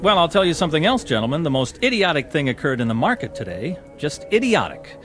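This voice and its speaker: An actor playing a glib, shallow, boastful society dandy with an affected, talkative manner in a radio drama. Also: male voice, on the high side, sing-song, fast, a bit bright, faint music behind. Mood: boastful, chatty. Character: glib, shallow, boastful upper-class dandy club bore.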